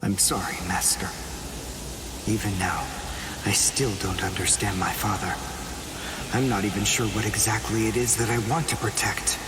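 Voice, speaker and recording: An actor playing an earnest, determined young male warrior in an anime game, speaking in a solemn, measured, intense way. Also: fairly low pitch, fairly even intonation, over music, close to an everyday voice. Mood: solemn reflection. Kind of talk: English-dubbed anime game acting.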